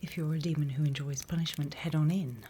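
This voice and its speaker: A woman's voice: low-pitched, fairly even intonation, slight background noise, natural voice. Whispering British woman as a female demon teacher. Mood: patient, instructive. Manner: soft, deliberate, instructional, with pauses. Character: female demon teacher, softly menacing, encouraging, prim. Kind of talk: whispered ASMR roleplay.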